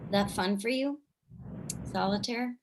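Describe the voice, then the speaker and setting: A woman's voice: mid-range voice, unhurried, heavy background noise, close to an everyday voice. An actress playing a blunt, needling woman housemate in a staged play reading.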